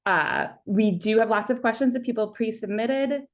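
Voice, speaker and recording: A woman's voice: on the high side, normal speed. A woman hosting an online community meeting on a video call, talking casually with many fillers. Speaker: webinar host.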